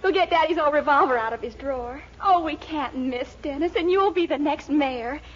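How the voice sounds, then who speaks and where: An actress playing a brisk, confident, scheming young American sweetheart in a 1940s radio comedy, bubbly and coaxing. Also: female voice, sits high, slight background noise, close to an everyday voice. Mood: gleeful scheming. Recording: muffled 1940s radio recording.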